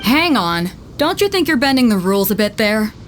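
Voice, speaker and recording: A woman's voice: fairly high pitch, sing-song, moderate pace, slight background noise. A woman voicing a confident female ship captain who speaks in a bold, teasing way. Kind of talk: video game voice acting.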